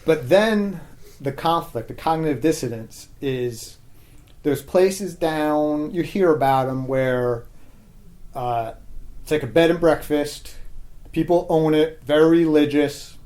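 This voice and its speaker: An American man talking casually and argumentatively as a podcast guest, halting often and leaning on filler words. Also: fairly high pitch, darkish tone. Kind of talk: casual opinionated conversation.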